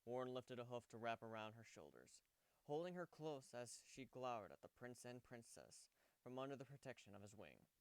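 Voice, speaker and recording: Animated, smooth-voiced male story narrator. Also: medium pitch, normal speed, dry recording. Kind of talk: dramatic fan fiction reading, scripted narration.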